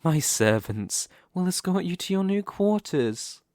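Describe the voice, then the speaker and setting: A man playing a smug, possessive demon king in an ASMR roleplay, speaking slowly and deliberately with a teasing, condescending manner. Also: high-pitched, big pitch swings, a little mellow, no echo, clean studio sound, exaggerated voice. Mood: calm, possessive. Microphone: close studio microphone, dry.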